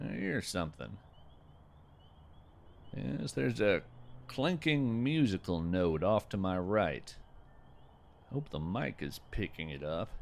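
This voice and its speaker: A man playing a gentle, folksy naturalist who hosts a scripted field podcast with earnest, lyrical wonder. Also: on the low side, vocal fry, exaggerated voice. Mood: hushed curiosity. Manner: deliberate, lyrical, earnest delivery. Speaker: podcast host and narrator. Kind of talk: scripted narration with poetry reading.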